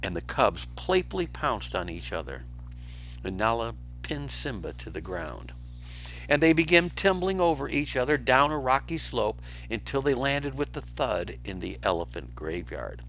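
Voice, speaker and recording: A man's voice: medium pitch, big pitch swings, normal speed, fairly bright tone, slight background noise, rough recording. A man reading a children's storybook aloud as a teacher, narrating expressively with brief asides.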